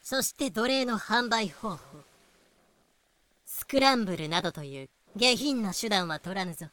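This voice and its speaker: A woman's voice: medium pitch, expressive, moderate pace, fairly bright tone, lightly acted. A woman acting a haughty wise wolf woman who sells slaves, using proud, old-fashioned courtly speech in a fan-made voice drama. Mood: brisk, commanding. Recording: music behind the voice.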